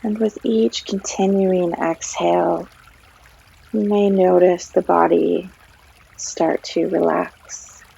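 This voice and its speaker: Female meditation guide, gentle and unhurried, heavy vocal fry, husky breath, stream trickling behind a slightly dull recording. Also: lowish voice, fairly even intonation. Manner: gentle, unhurried, long pauses between phrases. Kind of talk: guided meditation, soothing narration. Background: flowing stream water.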